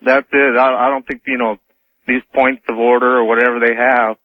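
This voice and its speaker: A man's voice: medium pitch, flat delivery, normal speed, dry recording. American man on a tinny phone line. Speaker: first-time phone caller to a radio show. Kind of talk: casual opinionated phone call.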